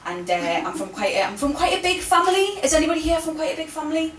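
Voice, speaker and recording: A woman's voice: high-pitched, big pitch swings, rapid-fire, recorded from a distance, soft background music. A woman in her thirties with a Geordie accent, doing cheeky, self-mocking stand-up comedy and chatting with the audience at a live venue. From Newcastle, England. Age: 30s. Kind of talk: stand-up comedy with crowd work. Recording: echoey room, from afar, noisy.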